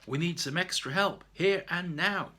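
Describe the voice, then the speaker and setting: A man performs an anxious young schoolboy from a children's story. The voice is pushed well above a grown man's, bright and tense. He stammers and pleads with eager urgency. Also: sits high, measured pace, pitched above the performer's own voice. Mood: urgent.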